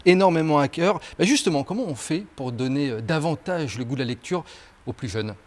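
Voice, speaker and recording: A man's voice: on the high side, lively intonation, fairly quick, a little mellow. A man asking a minister questions in a courteous, formal TV interview, with some hesitations.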